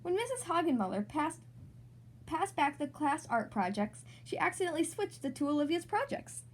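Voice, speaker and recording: A woman hosts a read-aloud for kids. Her voice sits high and swings widely in pitch. She narrates in an expressive, sing-song storybook manner. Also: moderate pace. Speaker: storybook reader, podcast host.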